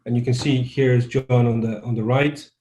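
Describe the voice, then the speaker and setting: A man's voice: medium pitch, steady tone, moderate pace, warm tone, echoey room, slight background noise. A man from Croatia, a guest neuroscientist, answers interview questions over a video call in a thoughtful, conversational way and often restarts his sentences.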